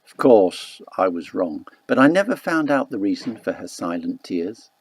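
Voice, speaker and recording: Male audiobook narrator, literary fiction, measured delivery, creaky voice, dry close recording. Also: fairly low pitch, big pitch swings, no echo. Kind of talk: scripted literary narration of a first-person novel.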